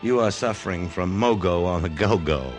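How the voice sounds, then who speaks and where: An actor playing a troubled doctor, genial but edgy, in a 1940s radio drama with a casual, wry way of talking. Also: male voice, sits low, moderate pace, close to an everyday voice. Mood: wry, playful. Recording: old radio broadcast, music behind.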